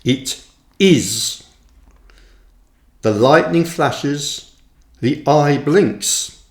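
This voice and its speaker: Slow, expressive man reading in echoey room. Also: on the high side. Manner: deliberate, measured phrasing with pauses. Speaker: author reading own book. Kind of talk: audiobook-style reading of a reflective text. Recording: roomy, echoing voice recording.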